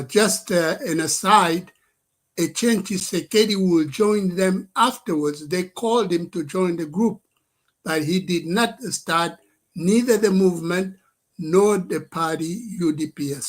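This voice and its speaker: An older man whose first language is French gives a slow, deliberate address, repeating his points for emphasis. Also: high-pitched. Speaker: scholar and activist addressing leaders. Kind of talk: livestream address.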